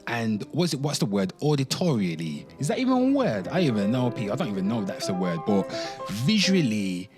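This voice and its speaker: A man hosting an online show, giving a dramatic reading with off-the-cuff commentary, drawn-out exclamations and asides to his listeners. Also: medium pitch, wildly swooping pitch. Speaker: host of an online pop culture show. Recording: music playing under the voice. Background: music.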